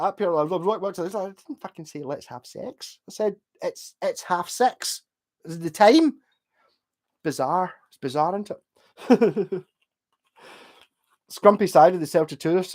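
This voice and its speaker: A man's voice: high-pitched, a little mellow. Glaswegian male streamer, loose rambling chat, casual swearing, answers viewer comments. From Glasgow, Scotland. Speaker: livestream host.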